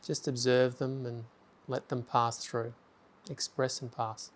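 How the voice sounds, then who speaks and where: A man guiding a meditation in a calm, gentle way. His voice is steady and even, with little rise and fall. He speaks unhurried instructions and leaves long silences between them. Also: mid-range voice. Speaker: meditation guide. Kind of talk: guided meditation.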